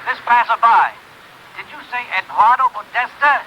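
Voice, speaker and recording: Urgent official commissioner, very high, piercing voice, faintly noisy vintage radio. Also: male voice, expressive, normal speed, sharp tone, heavily acted. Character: brisk authoritative male intelligence commissioner. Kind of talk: 1950s radio adventure acting. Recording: old radio recording with faint noise.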